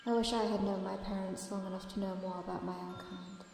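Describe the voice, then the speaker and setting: A woman voicing a curious, protective young female dragon in a soft-spoken, earnest ASMR roleplay. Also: medium pitch, level tone, a little fast, gravelly, soft background music, lightly acted. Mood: wistful, tender. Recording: very echoey, long reverb, faint background noise.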